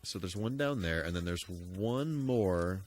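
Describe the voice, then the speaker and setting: Low-voiced man chatting on a game stream. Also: expressive, a little slow. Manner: rambling, thinking aloud. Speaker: video game live streamer. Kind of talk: casual live gameplay commentary and chat. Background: music behind the voice.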